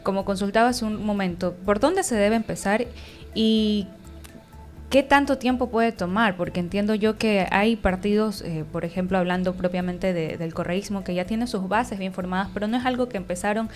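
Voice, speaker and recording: Measured woman radio interviewer. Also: mid-range voice, soft background music.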